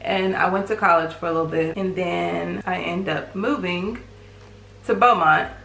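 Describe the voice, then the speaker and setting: Bright woman, thirty. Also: fairly low pitch, moderate pace, gravelly, echoey room, a little hiss or hum, low-quality recording. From East Texas, USA. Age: 30s. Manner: relaxed, laughs easily. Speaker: vlog co-host. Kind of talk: casual story time chat.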